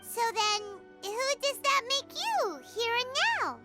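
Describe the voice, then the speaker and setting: A woman's voice: extremely high pitch, lively intonation, slow, loud music behind the voice, exaggerated voice. An actress voicing a tiny chirpy female fairy companion who speaks of herself in the third person, in a video game. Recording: game soundtrack under voice.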